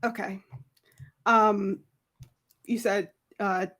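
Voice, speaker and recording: A woman's voice: mid-range voice, fairly even intonation, very slow, fairly bright tone. Casual woman player at a roleplay table. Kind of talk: casual game-table chat.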